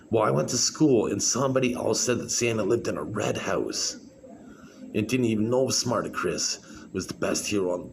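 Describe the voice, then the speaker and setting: A man with a very deep voice, talking in a halting, rambling monologue full of fillers and restarts. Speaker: vlogger. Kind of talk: rambling solo monologue to camera. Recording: clear background noise.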